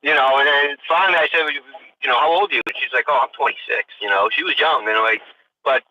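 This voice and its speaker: A man's voice: medium pitch, fast, sharp tone. An American man in his forties chatting casually on a livestream with emphatic, repetitive opinions and some swearing. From United States. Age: 40s. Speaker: livestream host. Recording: tinny radio-like sound, distant mic, faint noise.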